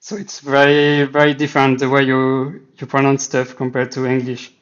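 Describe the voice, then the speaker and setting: A man's voice: medium pitch, completely flat delivery, normal speed. A Swiss man whose first language is French, speaking English with a French accent, hesitantly thinking aloud with many fillers while he sets up his live development stream. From Switzerland. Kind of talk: casual live dev stream. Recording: slightly dull lo-fi stream mic.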